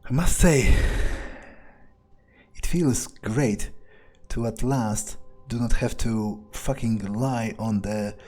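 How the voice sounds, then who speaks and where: A man's voice: on the low side, slow, warm tone, faint music behind. A man playing an arrogant, scheming, foul-mouthed vampire in a muttered, scornful in-character monologue over gameplay. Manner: profane, scornful, muttering to himself.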